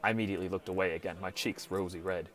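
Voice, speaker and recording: A man's voice: low-pitched, steady tone, racing, fairly bright tone. A man reading scripted first-person story narration as an audiobook narrator.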